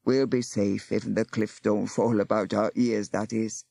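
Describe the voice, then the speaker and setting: Gruff old cave-dwelling creature, dialect, low and grumbling, storybook reading, a woman doing the voice. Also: fairly even intonation, a little slow, mellow, dry recording, heavily acted. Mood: grave.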